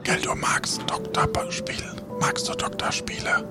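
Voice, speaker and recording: A performer playing a blunt, crude crewmate in an improvised pirate adventure, speaking in a breathy, voiceless whisper. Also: unhurried, over loud music, natural voice. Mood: hushed.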